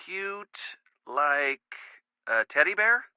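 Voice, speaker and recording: A man's voice: fairly high pitch, very animated, slow, clear, resonant tone, no echo. An actor playing a goofy, affectionate young man in a video game, talking casually and awkwardly as if over a phone. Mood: affable, joking. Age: young adult. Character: goofy, affectionate young male college boyfriend. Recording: muffled, band-limited phone call sound.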